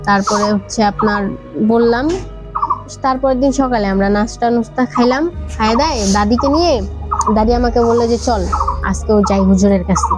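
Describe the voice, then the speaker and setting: A young adult woman with a Bangladeshi Bengali accent, telling a personal story conversationally in halting, repetitive short bursts. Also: fairly high pitch, poor audio. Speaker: podcast guest. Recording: background music under the voice.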